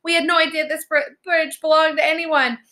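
A woman's voice: medium pitch, sing-song, moderate pace, sharp tone, voice pushed up. A woman voicing a confident, eager young twin sister in a children's storybook, chirpy and insistent. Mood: apologetic.

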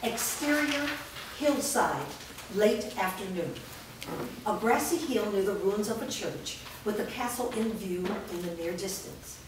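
A woman's voice: mid-range voice, expressive, measured pace. Woman reading stage directions in an echoey room. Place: large indoor studio room. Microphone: far camera. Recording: echoey room from far off, noisy background.

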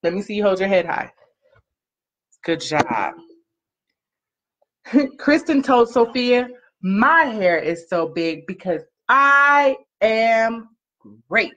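Slow-paced mother hosting a children's story time. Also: fairly high pitch. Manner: animated, encouraging call-and-repeat delivery with drawn-out phrases. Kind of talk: storybook reading and casual chat with children. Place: small living room, on a couch. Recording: slightly dull home webcam audio.

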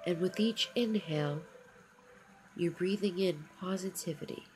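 A woman narrating a scripted guided meditation in a soothing, encouraging manner, with short phrases and long pauses. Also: fairly low pitch, darkish tone, slight background noise, rough recording. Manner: encouraging affirmations. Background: background music.

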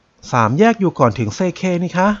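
A man voicing a polite, frightened Japanese woman in her late twenties who speaks softly and pleads. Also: a little fast, highs cut off, lightly acted. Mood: pleading.

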